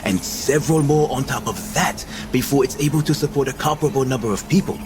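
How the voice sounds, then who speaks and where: Man acting cynical visionary leader, animated delivery. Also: mid-range voice, quick pace, noisy background, natural voice. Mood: dismissive. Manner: theatrical, declamatory, dismissive. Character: visionary male project leader, cynical, world-weary, grandly persuasive. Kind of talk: science fiction audio drama acting.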